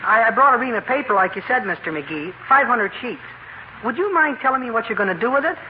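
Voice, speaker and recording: An actor playing an earnest, naive teenage delivery boy with a hesitant, deadpan manner, in 1940s radio comedy style. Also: very high voice, fast talker. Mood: earnest, sheepish. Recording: noisy, muffled old radio recording.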